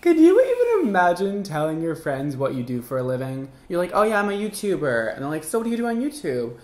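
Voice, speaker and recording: A man in his twenties giving casual, exclamatory reaction commentary to camera in a campy, animated manner. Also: high voice, fast talker, roomy sound. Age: 20s. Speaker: hairdresser and video host. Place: indoor studio with paper backdrop.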